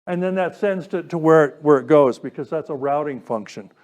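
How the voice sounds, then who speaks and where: A man's voice: fairly high pitch, a little fast, a little mellow, dull audio. A man presenting an informal technical lecture, explaining step by step and putting questions to his listeners. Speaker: presenter giving a technical talk to a radio club.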